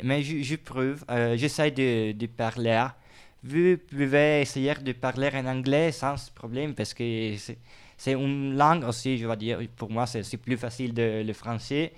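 Italian-accented male guest, clear tone, halting casual talk. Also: mid-range voice. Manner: hesitant, many restarts in a second language. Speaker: radio guest. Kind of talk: casual radio chat.

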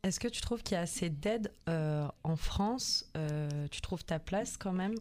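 A woman interviewing a guest on the radio, asking gentle questions with small hesitations. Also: on the low side, lively intonation, unhurried. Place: radio studio.

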